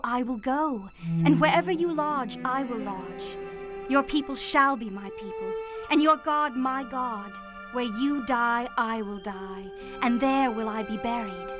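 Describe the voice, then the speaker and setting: A woman's voice: on the high side, natural voice. An actress playing a devoted young foreign widow in a radio drama, speaking earnestly, with music playing behind her.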